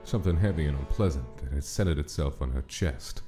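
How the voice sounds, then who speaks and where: A man's voice: extremely low pitch, lively intonation, brisk, dark tone, faint music behind. A man reading dramatic scripted story narration as the narrator of a comic dub.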